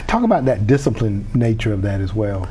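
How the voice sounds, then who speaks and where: A man's voice: on the low side, very animated, brisk, dark tone, slight background noise. A man hosting a community TV interview, chatty and enthusiastic, often restarting his sentences. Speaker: host.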